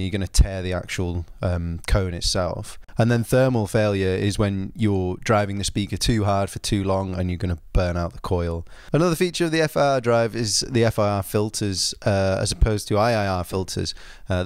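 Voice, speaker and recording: A man giving an informal spoken product review, explaining things in a measured, understated way. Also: deep voice, clear, resonant tone, dry recording, studio quality.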